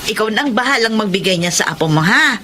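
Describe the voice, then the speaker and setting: A woman voicing a friendly, chatty neighbor with a brisk delivery in an audio drama. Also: mid-range voice, lively intonation, bright, forceful tone. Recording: noisy ambient background. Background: ambient noise.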